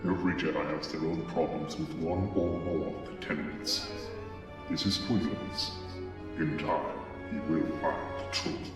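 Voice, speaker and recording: A man playing a grave, patient Jedi master with measured speech in a comic dub. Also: very deep voice, steady tone. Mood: solemn, measured. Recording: cavernous reverb, music underneath.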